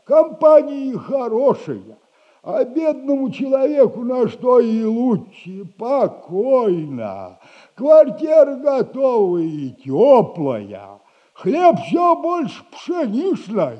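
An actor playing a sly, sardonic old retired soldier who lends money, in a 1960s radio play. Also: male voice, extremely high pitch, very animated, lo-fi sound, full character voice. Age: older. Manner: slow, teasing, sardonic advice.

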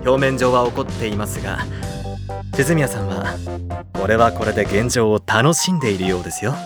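A man playing an unflappable, agreeable high-school boy who speaks courteously and formally in an anime audio drama. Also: moderate pace, music in the background, lightly acted. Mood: calm, reassuring. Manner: courteous, composed, smooth formal speech.

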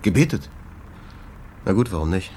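A man's voice: low-pitched, animated, moderate pace, warm tone, slight background noise. A man playing a harried, irritable jazz club owner in a German radio drama, impatient, curt and nervy. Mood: impatient probing.

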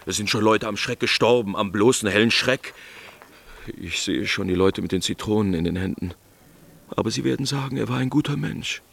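An actor playing a pompous, anxious army captain in a German radio drama, rambling and repeating himself sentimentally. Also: male voice, fairly low pitch, fairly quick, creaky, fairly bright tone. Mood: maudlin, fearful.

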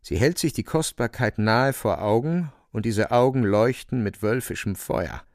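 A man's voice: mid-range voice, a little slow, no echo, studio quality. A man narrating a literary radio play with vivid, suspenseful storytelling.

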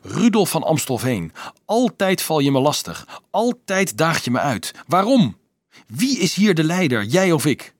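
A man voicing a possessive, quick-tempered boy leader in a storybook, indignant and challenging. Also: a little high, very animated, no echo, clean studio sound, pitched above the performer's own voice, full character voice. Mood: exasperated anger.

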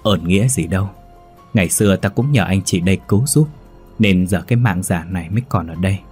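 A wise old healer-priest speaks calmly, reassuring and lecturing, voiced by a male storyteller. His low, husky tone stays even. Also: fairly quick, soft background music.

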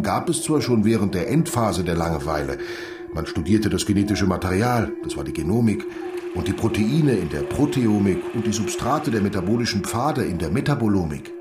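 A man playing a learned, patient and pedantic teacher in a German radio drama, lecturing with deliberate, professorial emphasis. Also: sits low.

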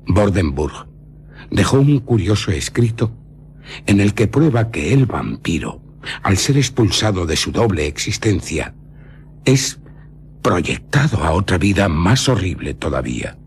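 An actor playing an eccentric old baron and vampire scholar in a Castilian Spanish radio drama, telling his tale dramatically with lingering pauses and a very raspy voice. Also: low-pitched, sing-song, a little hiss or hum, exaggerated voice. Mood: grave, instructive. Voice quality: very husky, raspy.

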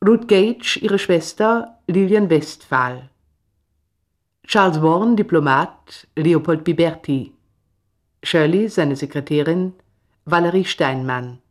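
Slow, formal radio announcer. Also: medium pitch. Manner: formal, deliberate. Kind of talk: formal scripted credits reading.

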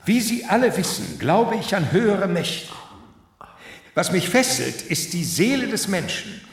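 Radio play casting: solemn older professor, formal and oratorical, high swooping voice, echoing hall. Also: male voice, very animated, unhurried, creaky, exaggerated voice. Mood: solemn, confessing. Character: solemn male professor confessing guilt, believer in dark powers. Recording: echoey hall, distant, faint noise.

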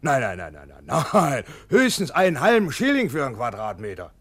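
Swooping, wily property dealer. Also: male voice, mid-range voice, huge pitch swings, fairly quick, a bit bright, slightly rough, heavily acted. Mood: slyly reluctant. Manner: fussy, repetitive, dismissive. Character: wily, grudging, grasping property dealer on the telephone. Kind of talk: 1950s German radio play acting.

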